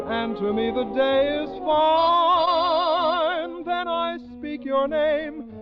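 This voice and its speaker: A woman singing a 1940s popular song as a radio band vocalist. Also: high-pitched. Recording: dull vintage radio recording, loud music. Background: loud band accompaniment.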